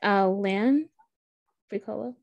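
A woman's voice: medium pitch, slow pace, gravelly, a little mellow, no echo, lo-fi sound. A woman from Trinidad introducing herself casually on a video call. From born in Trinidad. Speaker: participant.

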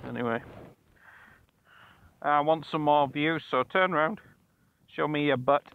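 A man's voice: fairly high pitch, a little slow. A man chatting casually as he rides a motorbike, with cheeky, sweary banter, speaking into a helmet mic. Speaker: motovlogger. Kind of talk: casual chat while riding. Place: outdoors on a motorcycle ride. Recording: muffled helmet mic, loud vehicle noise. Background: motorcycle engine and road noise.

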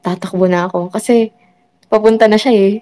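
A woman's voice: medium pitch, steady tone, brisk, mellow, close to an everyday voice. A woman playing a gloating fake superhero with evil plans in a game roleplay, smug and taunting. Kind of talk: scripted game roleplay acting.

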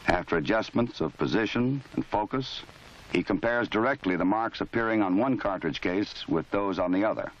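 A low-voiced American man narrates in a formal, measured style. He sounds authoritative and matter-of-fact, reading a script for an instructional film. The sound is dull and lo-fi, like a 1970s film soundtrack. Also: slight background noise.

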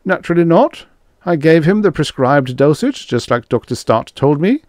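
A man's voice: fairly high pitch, expressive, normal speed, a little mellow, dry recording, studio quality, pitched above the performer's own voice, natural voice. A man voicing a nervous, defensive young doctor who protests in a pleading, flustered way, in a dramatic story reading. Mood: puzzled.

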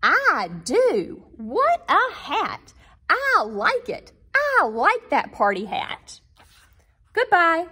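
A woman reading a picture book aloud to young children, with animated, deliberate and emphatic phrasing. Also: extremely high pitch. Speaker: guest children's book reader.

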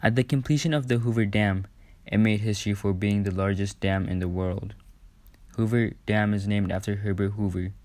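Male narrator for a newsreel script, low register, even delivery, dry sound. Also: dry recording. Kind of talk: scripted narration read aloud.

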